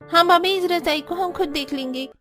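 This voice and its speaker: A woman's voice: very high voice, normal speed, a bit bright, faint music behind. A woman voicing a bratty, lazy young sister-in-law in a cartoon, whiny and demanding. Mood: bossy. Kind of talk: Hindi cartoon dialogue acting.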